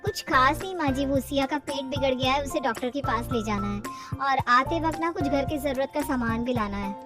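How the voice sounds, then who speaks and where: A woman's voice: high-pitched, brisk, over loud music, pitched below the performer's own voice, lightly acted. A woman voicing a young daughter-in-law in a story, polite and dutiful but firm as she explains herself.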